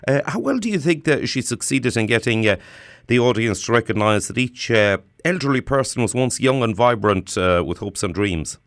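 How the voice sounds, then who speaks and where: A man's voice: mid-range voice, lively intonation, moderate pace, fairly bright tone, no echo. Male radio interviewer, dry close sound. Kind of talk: radio interview with scripted introduction.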